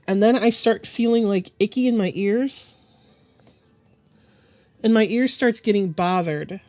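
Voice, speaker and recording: Hoarse sick woman with a rough voice. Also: medium pitch, darkish tone. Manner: halting, rambling, with frequent throat clearing. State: hoarse and deepened from bronchitis. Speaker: digital art streamer. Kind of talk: casual live-stream chat.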